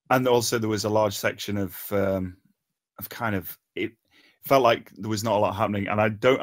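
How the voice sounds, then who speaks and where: A man with a fairly low voice gives an informal critique. He talks haltingly, feeling for his words with plenty of ums and pauses. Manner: hesitant, halting, frequent long pauses and ums. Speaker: table read participant giving script feedback. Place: remote online voice call.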